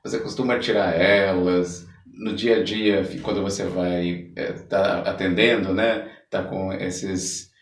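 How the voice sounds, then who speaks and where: A Brazilian man hosting a podcast interview, asking casual questions in a halting way with short affirmations. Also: sits low, fairly even intonation. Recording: echoey room, distant microphone.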